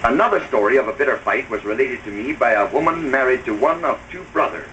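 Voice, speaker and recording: A man's voice: medium pitch, expressive, fast talker. Formal 1930s radio advice man, noisy recording. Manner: formal, oratorical. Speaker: host and advice giver. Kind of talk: 1930s radio-style scripted address. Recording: dull, noisy old film soundtrack.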